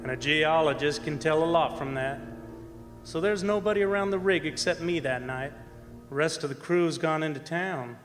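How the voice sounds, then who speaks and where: A man acts out an easygoing roughneck from an old-time radio drama, with plain colloquial speech. His voice sits a little high for a man. It rings in a big hall with music playing behind. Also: normal speed, lightly acted. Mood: folksy storytelling. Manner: colloquial, folksy. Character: folksy old-time oil rig roughneck, easygoing storyteller. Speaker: actor and narrator in a radio play. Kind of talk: stage radio drama acting. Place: performing arts center stage.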